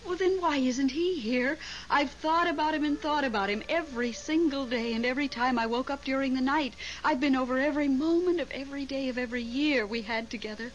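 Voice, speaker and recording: A woman's voice: high-pitched, fairly quick, hardly any pauses, lightly acted. A woman playing a devoted, insistent middle-aged widow with an emotional, theatrical delivery in a 1970s radio drama. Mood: plaintive. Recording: dull, noisy old radio broadcast recording.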